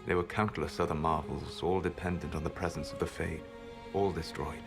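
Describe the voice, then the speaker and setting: A proud, secretive ancient elf mage speaks slowly and gravely, every word weighed. His voice sits very low, and a swelling game score plays behind him. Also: steady tone. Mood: solemn. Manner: measured, grave, quietly regretful. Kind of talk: video game voice acting. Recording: game audio with music score.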